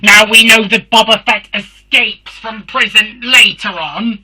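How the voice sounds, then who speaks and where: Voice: mid-range voice, steady tone, unhurried, pushed and bright, breathy edge, exaggerated voice. Harsh, buzzing Dalek robot. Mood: emphatic. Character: Dalek, shrill robotic alien warrior hosting a review show. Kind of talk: fan comedy review show in character.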